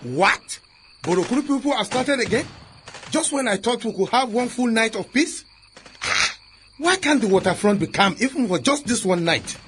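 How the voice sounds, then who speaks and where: An actor playing an exasperated, authoritative police officer in a radio drama, with a Nigerian accent and a husky, emphatic delivery. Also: male voice, extremely high pitch, lively intonation, moderate pace, noisy background. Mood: irritated, commanding. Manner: emphatic, commanding, argumentative.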